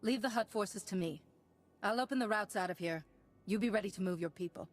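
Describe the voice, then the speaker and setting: An actress playing a steadfast, confident heroine in a video game, composed and assured. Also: female voice, medium pitch, brisk, natural voice. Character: steadfast, confident female Jedi hero. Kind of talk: video game character acting.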